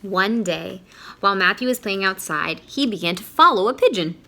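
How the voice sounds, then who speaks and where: A woman's voice: medium pitch, animated, normal speed, vocal fry, bright, forceful tone, slight background noise. A woman reading a children's picture book aloud expressively, stopping to put questions to young listeners.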